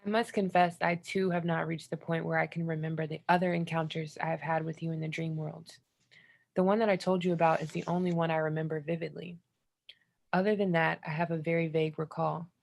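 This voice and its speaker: A woman's voice: low voice, fairly even intonation, fairly quick, vocal fry, a little mellow. A woman reading one part of a written book dialogue aloud. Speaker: reader of a book chapter.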